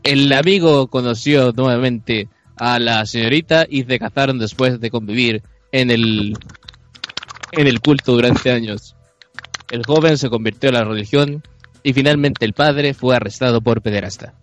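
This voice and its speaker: A Chilean man improvising a mock-solemn comic story as narrator, pausing between short phrases. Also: mid-range voice, soft background music. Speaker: narrator in an improv comedy show.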